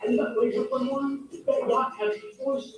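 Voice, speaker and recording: High-pitched woman in an echoey, distant clip. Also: lively intonation, moderate pace, background noise.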